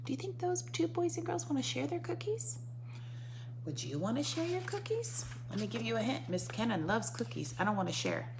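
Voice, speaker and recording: A woman's voice: high voice, big pitch swings, fairly quick. A woman teacher reading a picture book to young children, breaking off to explain and coax answers with questions and repetition, on a noisy recording. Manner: instructive, coaxing the listener with questions and repetition.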